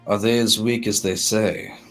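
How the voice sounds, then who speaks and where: A man's voice: fairly low pitch, steady tone, measured pace, fairly bright tone. A man voicing an older male vampire sire in a tabletop game, speaking courteously and formally. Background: faint music.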